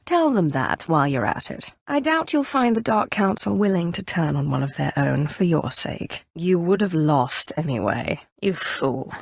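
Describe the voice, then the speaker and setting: An actress playing a commanding, cool-headed Sith sorceress in a video game, haughty yet idealistic, speaking in a poised, measured, imperious way. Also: female voice, low voice, very animated, creaky, husky, no echo, exaggerated voice. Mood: scornful, commanding. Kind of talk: scripted video game dialogue acting.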